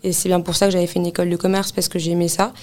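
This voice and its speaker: A woman's voice: fairly low pitch, racing. A woman in her twenties from Paris, talking easily about her career in a podcast interview, with frequent hesitation fillers. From Paris, France. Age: 20s. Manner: relaxed, conversational, frequent "euh" fillers. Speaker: office real estate consultant, guest.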